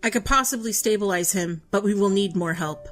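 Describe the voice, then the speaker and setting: Actress as drained yet composed female healer, mid-range voice, measured, instructing, audio drama, faint music bed. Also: medium pitch, natural voice. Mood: focused, instructing. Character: Elizabeth, weakened but composed female healer with powers. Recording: music under the voice.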